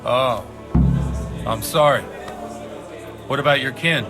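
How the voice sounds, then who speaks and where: A middle-aged medieval priest in a game cutscene, with music clearly behind him. He speaks at a medium pitch, measured and fatherly, as a worldly man who holds firm to his principles. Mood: sympathetic, curious. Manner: measured, fatherly, gently philosophical. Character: worldly medieval village priest, kindly but principled former fighter. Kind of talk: video game cutscene acting.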